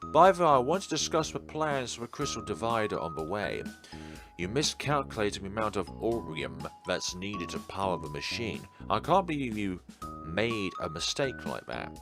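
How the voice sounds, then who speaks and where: A man's voice: on the low side, sing-song, normal speed. A man voicing a teasing, reassuring young friend and business partner in visual novel dialogue. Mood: chiding. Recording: music playing behind the voice.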